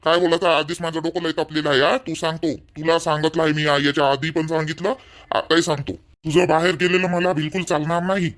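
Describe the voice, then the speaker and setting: A man's voice: a little high, level tone, quick pace, a bit bright, close to an everyday voice. A man playing an angry, controlling young village husband in a family cartoon, scolding and repeating himself in a Vidarbha Marathi accent. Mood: angry, commanding. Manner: scolding, domineering, repetitive. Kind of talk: family drama cartoon dialogue.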